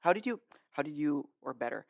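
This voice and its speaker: A woman hosting a podcast interview, asking questions in a measured way. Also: sits low, lively intonation, vocal fry, dry recording. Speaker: podcast host.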